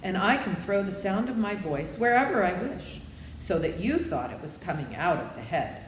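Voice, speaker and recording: A woman's voice: fairly low pitch, big pitch swings, moderate pace, reverberant, long reverb tail, slight background noise, voice pushed up, low-quality recording. A woman voicing a meek little humbug wizard, a trembling, apologetic man in a storybook reading. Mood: confiding. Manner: meek, pleading.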